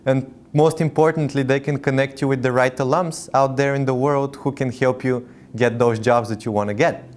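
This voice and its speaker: A man's voice: mid-range voice, fairly even intonation, normal speed. A young man from Bulgaria with a mid-range voice. He speaks in a friendly, encouraging way as a master's student. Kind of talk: testimonial interview.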